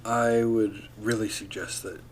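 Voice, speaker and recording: A man's voice: on the low side, steady tone, a little slow, slight background noise. A man hosting a casual solo podcast, talking in a loose, rambling way full of ums and restarts. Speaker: podcast host.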